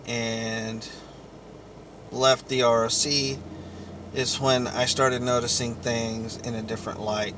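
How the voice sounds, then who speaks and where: Male vlogger, unhurried and halting, telling a rambling personal story; faint vehicle-like noise behind. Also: mid-range voice, a bit bright. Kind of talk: rambling personal account to camera or mic.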